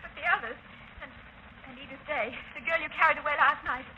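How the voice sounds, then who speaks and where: An actress in a 1930s radio drama playing a frightened but defiant captive young woman, pleading, urgent and halting. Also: sits high, fairly even intonation, natural voice. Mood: anxious pleading. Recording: dull noisy 1930s radio recording.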